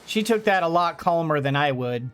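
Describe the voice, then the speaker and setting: A streamer commentates casually over a game in a middling pitch. The delivery rambles, thinking aloud as play goes on. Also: animated, normal speed, fairly bright tone, clean tone, soft background music. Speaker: gaming streamer.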